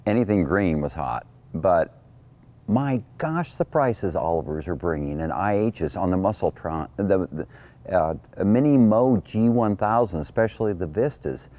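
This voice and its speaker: A man's voice: low voice, expressive, measured pace, dark tone. A man hosting a farm show, interviewing a guest and narrating with folksy interjections.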